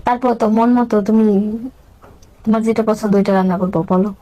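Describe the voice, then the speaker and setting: A woman's voice: on the high side, steady tone, fast talker, warm tone, low-quality recording. A woman in a casual phone conversation, answering in a reassuring, brief way.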